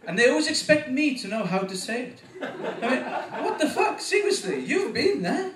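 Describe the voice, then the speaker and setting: A man with a Welsh accent performing a stand-up comedy set, getting animated at punchlines. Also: normal speed, distant mic, noisy background. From Wales. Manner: animated, voice jumps up in pitch at punchlines. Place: comedy club stage. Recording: echoey room, voice from afar.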